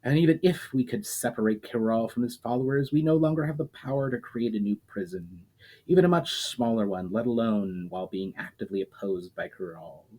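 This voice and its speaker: A man's voice: mid-range voice, brisk, warm tone, natural voice, low-quality recording. A man reading a sober ancient spirit.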